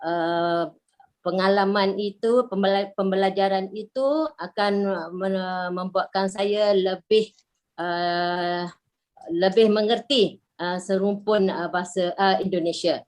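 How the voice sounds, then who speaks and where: Slow-spoken Malaysian woman in her sixties. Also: mid-range voice, fairly even intonation, a little mellow. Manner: slow, halting, many fillers. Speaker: member introducing herself. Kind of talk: online group video call. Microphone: video call.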